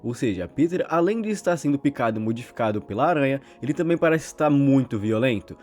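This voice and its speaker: A man's voice: mid-range voice, expressive, quick pace, a little mellow. A man with a Brazilian accent doing informal video narration as a channel host, retelling and commenting on horror stories in a conversational way. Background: background music.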